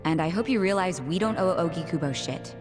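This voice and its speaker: A woman's voice: lowish voice, animated, a little fast, music in the background, natural voice. An actress playing a tough, frank woman in a video game dub, brusque and plain-spoken with guarded sympathy.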